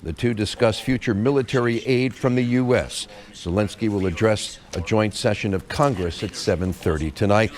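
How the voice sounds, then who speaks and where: An older man with an American accent and a hoarse voice, reading the evening news as anchor in a measured, formal way. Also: lowish voice, darkish tone.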